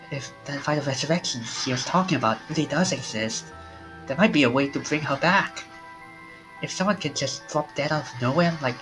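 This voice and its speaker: A man reading aloud as a frightened young man who stumbles over his words in panic. The voice sits a little high, and game music plays clearly beneath it. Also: close to an everyday voice, poor audio. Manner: stammering, panicky, then quietly resolved. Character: frightened young man, friend has collapsed. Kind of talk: reading a visual novel aloud.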